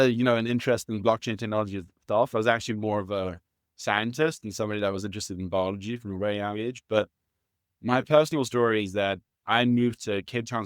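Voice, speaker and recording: South African man, low dry voice. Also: dry recording. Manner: measured, explanatory, with fillers and restarts. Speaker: guest, startup founder. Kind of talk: conversational interview answers.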